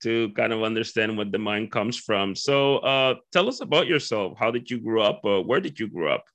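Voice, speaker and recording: A man hosting a podcast, asking questions casually with quick intros and frequent stumbling restarts. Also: fairly low pitch, expressive, a bit bright. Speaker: podcast host. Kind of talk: casual interview chat.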